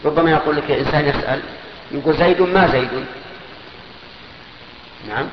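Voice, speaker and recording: A man giving a grammar lesson, slowly parsing each sentence step by step and asking questions that he answers himself. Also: fairly high pitch. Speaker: grammar teacher. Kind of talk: classical Arabic grammar lesson. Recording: echoey hall, dull lo-fi sound with faint noise.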